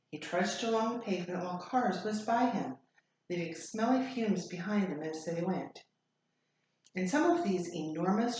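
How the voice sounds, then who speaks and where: A woman reading a children's story aloud as a teacher would, in an expressive, deliberate storytelling style. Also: on the low side. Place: echoey room. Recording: very echoey room, faint noise.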